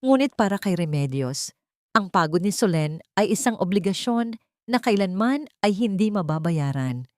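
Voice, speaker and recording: A woman's voice: medium pitch, lively intonation, moderate pace, no room sound, studio quality. A woman narrator reading a dramatic audiobook story in a scripted storytelling style.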